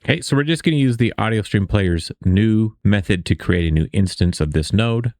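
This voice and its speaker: Low, creaky-voiced man teaching. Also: animated, moderate pace, darkish tone, no room sound. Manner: casual, explanatory, often checks in with okay. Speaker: tutorial instructor. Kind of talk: casual screen-recorded coding tutorial.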